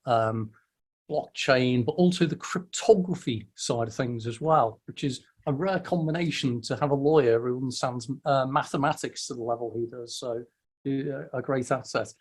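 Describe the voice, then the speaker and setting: A man hosting a casual meetup over a video call, friendly and welcoming, often stumbling and restarting his words. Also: medium pitch. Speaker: host of a weekly online meetup.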